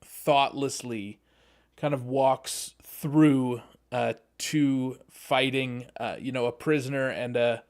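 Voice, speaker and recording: A player in a tabletop game describing a scene, halting and full of fillers. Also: medium pitch, fairly even intonation, a little mellow. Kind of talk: casual in-character play description.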